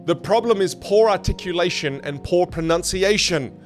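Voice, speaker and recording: A man whose first language is Teochew, teaching communication skills in an online English lesson with an animated, jokey delivery. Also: sits high, normal speed, soft background music.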